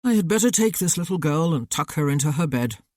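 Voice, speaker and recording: A woman reading as a friendly young gentleman who talks briskly and warmly. The tone is mellow and dry, recorded close to the mic. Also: sits low, animated, dry recording. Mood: grateful.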